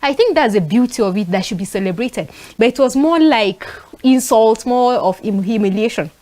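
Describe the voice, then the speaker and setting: A young woman from northern Nigeria with a Nigerian accent, speaking as a talk show panelist and telling stories in an animated way, with frequent fillers. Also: fairly high pitch, moderate pace. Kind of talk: casual panel discussion.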